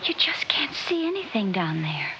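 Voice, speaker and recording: An actress playing a gentle, anxious, devoted young honeymooning wife, soft and pleading, in 1960s radio drama style. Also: female voice, medium pitch, sing-song, moderate pace. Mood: tender, hushed. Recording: dull, hissy old radio recording.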